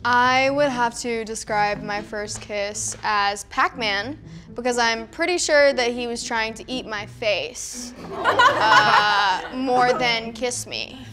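A woman's voice: a little high, lively intonation, slow, loud music behind the voice. A young woman telling a short story with wry humor. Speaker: dating show contestant.

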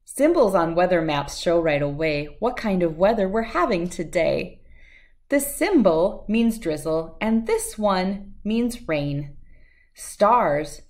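A middle-aged American woman speaking expressively and clearly as a host of children's storybook read-alouds. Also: fairly low pitch, lively intonation, slow, a little mellow. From United States, lived in Wisconsin.

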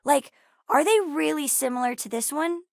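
A young girl from a space adventure game, her voice high and small. She is bookish and endlessly curious, chatting earnestly like a child among grown-ups. The sound is close and dry, with no room around it. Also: normal speed, gravelly, no room sound. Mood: chatty, curious. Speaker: video game voice actress. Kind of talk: video game character dialogue.

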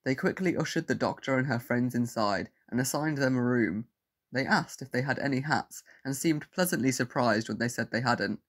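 A man with a medium-pitched voice reads scripted fiction aloud. He is the narrator of an audio story. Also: moderate pace, fairly bright tone.

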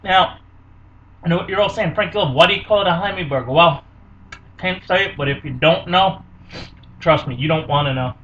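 Hammy high-voiced man. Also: moderate pace, long pauses, recorded from a distance. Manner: energetic, hammy showman patter. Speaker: cooking show host. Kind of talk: casual home cooking video. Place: small home kitchen, indoors. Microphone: camera mic close by. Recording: dull lo-fi webcam audio from a bit off-mic.

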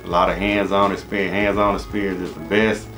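A man's voice: deep voice, little pitch movement, brisk, a bit bright, faint music behind. An American man in his thirties giving casual, rambling advice to camera in an informal, repetitive way. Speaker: HVAC business coach and channel host. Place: small indoor office.

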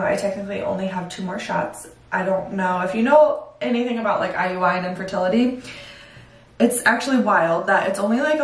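Chatty young woman vlogging in an echoey bathroom. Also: mid-range voice. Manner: chatty, candid, filler-heavy. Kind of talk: casual chat to camera. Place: home bathroom, indoors. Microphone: far camera. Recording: roomy echo from far off.

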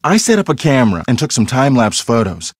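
A man's voice: mid-range voice, big pitch swings, quick pace, breathy edge, no echo, studio quality. A man playing a cocky, earnest TV ghost hunter in a video game, explaining things in a theatrical, self-assured way.

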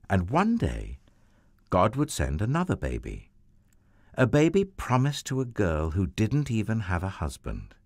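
Man, deep voice, lively melody, unhurried, children's storybook narration, dry close sound. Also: no echo. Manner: slow, expressive, sweeping storytelling.

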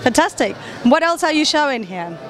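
A woman's voice: sits high, big pitch swings, normal speed. A woman interviewing an exhibitor at a trade show with short questions. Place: large indoor exhibition hall. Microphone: handheld interview microphone. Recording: busy crowd noise behind. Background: trade show crowd hubbub.

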